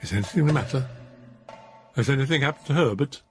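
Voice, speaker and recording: A man's voice: lively intonation, fast, faint music behind, natural voice. A man reading aloud and voicing a frightened elderly mother in a spooky story, with a raised, strained voice. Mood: anxious. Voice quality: high strained put-on voice. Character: frightened old woman, anxious mother.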